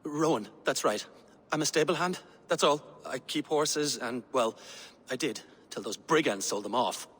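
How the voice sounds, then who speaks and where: An actor playing a nervous, ingratiating captive stable hand in a video game, hesitant and placating, with some reverb. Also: male voice, normal speed, a bit bright, husky. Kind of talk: cinematic video game acting. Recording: reverberant, faint rumble.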